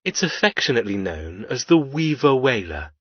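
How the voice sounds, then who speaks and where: A man voicing a cold, cruel, wealthy male captor in an audiobook, speaking softly with taunting menace. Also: medium pitch, big pitch swings, normal speed, slightly rough, no echo, muffled recording.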